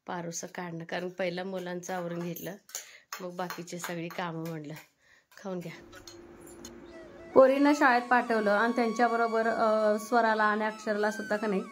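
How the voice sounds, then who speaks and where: A woman's voice: medium pitch, a bit bright, slight background noise. A woman with a rural Maharashtrian Marathi accent, chatting casually as she narrates a home cooking vlog. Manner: chatty, conversational. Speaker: family vlog host.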